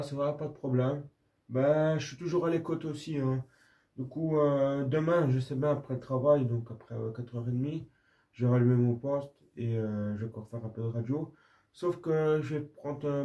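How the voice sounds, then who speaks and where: Radio hobbyist, male, Belgian French accent, medium pitch, casual chat, slightly lo-fi recording. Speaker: amateur radio user and video maker. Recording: slightly dull lo-fi audio.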